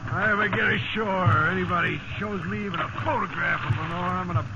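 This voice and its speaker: An actor playing a tired, deferential castaway in a lifeboat, in the style of a 1950s radio drama. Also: male voice, sits high, steady tone, fast, vocal fry, heavy background noise. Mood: weary. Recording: dull old radio, sea ambience.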